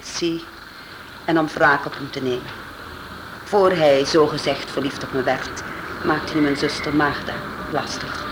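A woman's voice: low voice, steady tone, unhurried. An actress playing a vengeful woman witness who talks earnestly in a radio play. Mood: grave. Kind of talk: vintage radio play acting. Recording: background noise.

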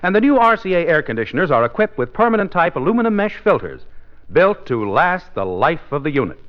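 A man's voice: fairly high pitch, very animated, fairly quick. A man with an American accent reading scripted announcements and commercials as a 1950s radio announcer, in dull old broadcast sound.

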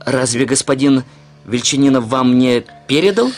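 An actor playing a brash, self-assured young suitor in a Russian radio drama, arguing in a formal, pedantic, insolent manner. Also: male voice, mid-range voice, animated, normal speed, soft background music, natural voice. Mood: taunting. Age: young adult.

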